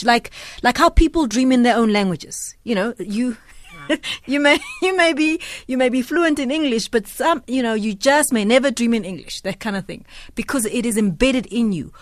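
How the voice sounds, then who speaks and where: A woman hosts a radio interview in an easy, conversational way. Her voice sits a little high. The studio sound is dry and close. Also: dry recording. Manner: conversational, frequent short affirmations. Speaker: radio host.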